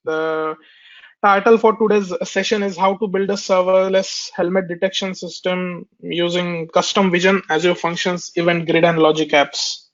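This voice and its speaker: A man with a fairly high voice walks listeners through a technical webinar, his voice nasal from a cold. He hesitates often, filling gaps and restarting phrases. The sound is muffled and lo-fi, like a webinar conference call. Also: level tone, normal speed, a bit bright. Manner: hesitant, many fillers and restarts. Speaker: webinar presenter. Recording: dull lo-fi webinar audio.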